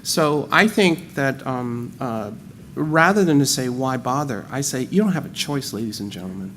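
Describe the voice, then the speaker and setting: A man's voice: medium pitch, lively intonation, normal speed, fairly bright tone, slight background noise. A man with an American accent speaking animatedly on a panel, repeating words as he thinks. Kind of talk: panel discussion.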